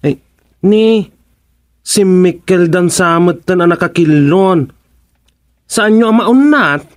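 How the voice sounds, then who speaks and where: A man with an Ilocano accent playing a bossy, unhurried masseur in a radio comedy drama, giving instructions with drawn-out interjections. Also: high voice. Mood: instructing, brisk concern. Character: bossy, unhurried masseur ordering a patient about.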